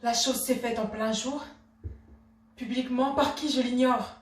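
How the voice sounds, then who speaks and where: A woman's voice: fairly high pitch, steady tone, measured pace, lightly acted. An actress playing a proud, outraged Renaissance noblewoman in a theatrical monologue, imperious and declamatory in her formal address. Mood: indignant. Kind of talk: theatrical classical stage monologue. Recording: echoey room, distant microphone.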